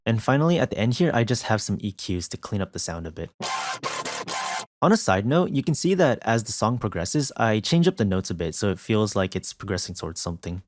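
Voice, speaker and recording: A man's voice: fairly low pitch, big pitch swings, brisk. A man presenting a music production tutorial in a casual, explanatory narration style.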